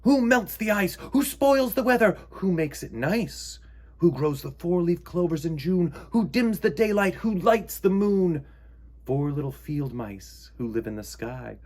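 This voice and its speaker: A man's voice: high voice, lively intonation, unhurried, a little mellow, pitched above the performer's own voice. A man voicing a dreamy little poet mouse from a children's storybook, declaiming verse as if on a stage. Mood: theatrical, grand.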